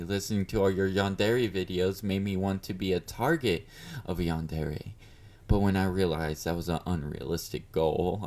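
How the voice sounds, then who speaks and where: A man's voice: lowish voice, a little mellow, close to an everyday voice. A man playing a confident, obsessive, flirty yandere fan in an intimate audio roleplay, teasing and smug as he speaks to his beloved. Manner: teasing, smug, mock-considerate, murmured endearments.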